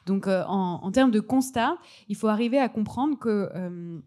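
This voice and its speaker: Woman on a panel, soft mellow tone, mid pitch, explains stuff step by step. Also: moderate pace. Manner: didactic, articulate. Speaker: panel guest, water expert.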